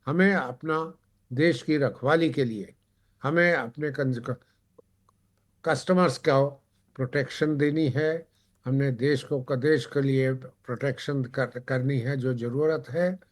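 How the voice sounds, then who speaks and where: A man explaining points as a TV panel guest, haltingly, often repeating and rephrasing his words. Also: mid-range voice. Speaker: panel guest on a consumer affairs TV show.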